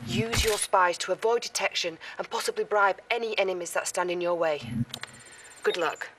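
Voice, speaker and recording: An actress playing a devoted military intelligence officer, giving composed, confiding briefings in a video game. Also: female voice, medium pitch, moderate pace, background noise, lightly acted. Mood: businesslike.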